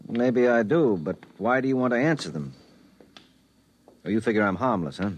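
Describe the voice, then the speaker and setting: An actor playing a weary, hard-drinking skeptic in an old radio drama, questioning in a wry, colloquial way. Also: male voice, medium pitch, lively intonation, normal speed, dull audio, slight background noise, natural voice. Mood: wry, probing.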